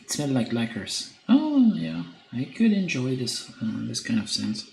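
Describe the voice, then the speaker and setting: A man with a French accent chatting on a live stream while he paints, reading chat and pausing often with fillers. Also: medium pitch, big pitch swings, creaky, darkish tone. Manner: hesitant, many filler pauses, reads chat aloud. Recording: echoey room with faint background noise.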